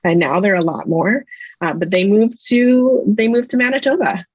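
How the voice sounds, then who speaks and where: Canadian woman in her 30s, research guest, husky lowish voice, lots of ums, kinda dull dry audio. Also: steady tone, normal speed, darkish tone, dry recording. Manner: thoughtful, hesitant with many fillers. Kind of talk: casual interview chat.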